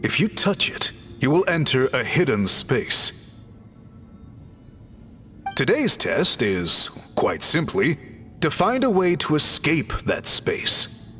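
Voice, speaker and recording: Polite, lively-toned scholarly researcher. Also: male voice, on the high side, slow, long pauses, soft background music, full character voice. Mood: courteous, assured. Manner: courteous, precise, formal phrasing. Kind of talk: video game character acting.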